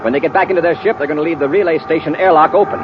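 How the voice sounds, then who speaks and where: Brisk military space commander, male actor, highish pitch, crisp authority, vintage radio drama, band-limited noisy recording. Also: highs cut off, natural voice. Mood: grim, urgent. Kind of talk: 1950s radio adventure acting.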